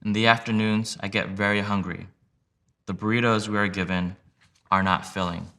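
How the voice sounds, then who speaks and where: A man reading a sworn legal declaration aloud in a measured, plain, steady way. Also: lowish voice. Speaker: reader of sworn testimony.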